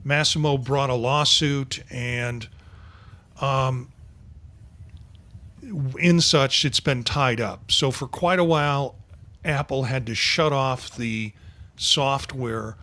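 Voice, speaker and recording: A man's voice: mid-range voice, steady tone, slow, long pauses, bright, forceful tone. Rambling man in his sixties. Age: 60s. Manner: rambling, with many fillers. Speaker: podcast host. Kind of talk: casual panel chat.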